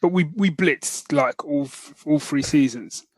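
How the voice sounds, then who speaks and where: A man's voice: fairly high pitch, a little slow, no room sound. A man co-hosting a podcast, chatting casually and colloquially about geek news, often tagging his points with questions. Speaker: podcast co-host.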